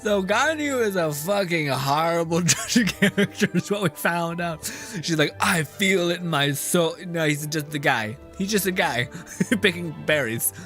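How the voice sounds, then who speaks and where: Streamer: an animated, profane man, high and bright. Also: normal speed, soft background music. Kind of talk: casual gameplay commentary.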